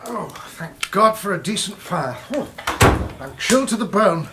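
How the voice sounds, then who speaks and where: A man's voice: high voice, animated, normal speed, very noisy. A man playing a weary but hospitable police inspector in a radio drama, genial and authoritative. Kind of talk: British radio drama acting.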